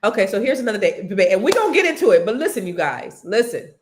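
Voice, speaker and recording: A woman's voice: mid-range voice, fast talker, background noise. A woman from California co-hosting a talk show, bantering playfully and reading out viewer comments. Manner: teasing, animated, reads viewer comments aloud. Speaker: co-host. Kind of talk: casual playful banter with a co-host.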